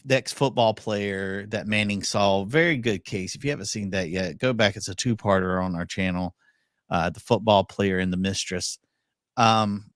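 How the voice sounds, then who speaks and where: A man's voice: fairly low pitch, dry recording, studio quality. A man co-hosting a court commentary live stream, talking casually and throwing out rhetorical questions. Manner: animated, exclaiming, rhetorical questions.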